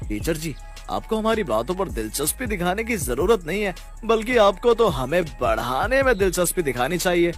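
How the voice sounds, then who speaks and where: A man voicing a cocky, spoiled high-school student in a cartoon story, taunting and swaggering. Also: sing-song, normal speed, soft background music. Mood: arrogant, defiant. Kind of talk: animated story character acting.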